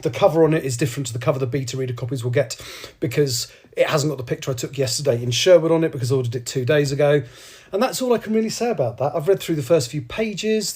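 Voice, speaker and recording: A man's voice: medium pitch, darkish tone. Rambling man hosting a podcast. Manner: rambling, with frequent ums and self-corrections. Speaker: podcast host. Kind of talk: casual solo podcast monologue.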